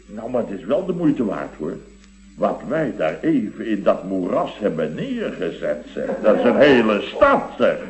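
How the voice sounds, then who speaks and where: An actor playing a blustering, sentimental middle-aged building contractor in a radio comedy, rambling and exclamatory. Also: male voice, fairly low pitch, wildly swooping pitch, measured pace, a little hiss or hum, heavily acted, poor audio. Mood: awed, effusive. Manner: rambling, exclamatory, with repeated tag words. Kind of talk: Dutch radio comedy acting.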